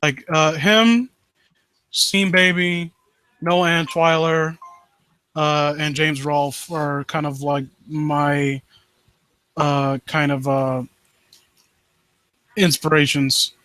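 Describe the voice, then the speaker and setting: A man's voice: a little high, steady tone, very slow, long pauses, bright, forceful tone, smooth, no room sound, low-quality recording. A man hosting a live stream in casual chat, blunt and given to swearing. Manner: blunt, profane. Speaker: host of a live critique stream.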